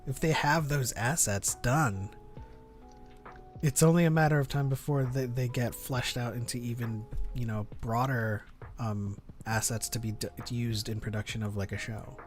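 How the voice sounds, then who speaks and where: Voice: medium pitch, fairly even intonation, normal speed, soft background music. A podcast host chatting casually in a fan discussion. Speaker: podcast host reading viewer chat.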